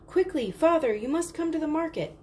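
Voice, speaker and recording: An excitable young storybook girl, acted out by a woman reading aloud. She is eager and urgent, pitched up from the narration and gushing breathlessly. Faint noise sits behind the voice. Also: brisk. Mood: breathless, excited.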